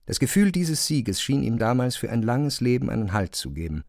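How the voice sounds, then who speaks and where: A man narrating a literary radio play from a script in a measured storytelling manner. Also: mid-range voice, very animated, darkish tone, no room sound, clean studio sound. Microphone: close studio microphone.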